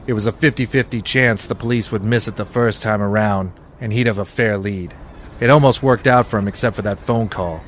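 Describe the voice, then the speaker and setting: An actor playing a wry, hard-boiled private detective in 1940s radio noir style, deadpan and terse. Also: male voice, on the low side, slight background noise, natural voice.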